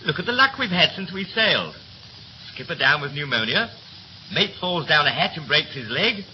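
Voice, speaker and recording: An actor in a 1930s radio play as a young third officer, brisk and slangy, chatty yet scornful and resentful. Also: male voice, a little high, sing-song. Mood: grumbling. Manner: brisk, breezy, clipped slangy speech. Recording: muffled, hissy 1930s radio recording.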